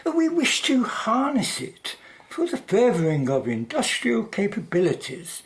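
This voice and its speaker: Radio drama villain: quietly menacing businessman, played by a woman, polite, unhurried, with lively pitch swings. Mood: calculating. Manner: polite, formal, persuasive. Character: suave, calculating male company owner, quietly menacing.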